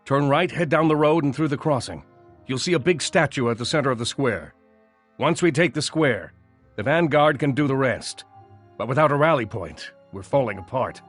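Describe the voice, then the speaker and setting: An actor playing an urgent human military commander in a video game cutscene, with a clipped, commanding delivery. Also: male voice, mid-range voice, expressive, moderate pace, soft background music, close to an everyday voice. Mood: grim, urgent.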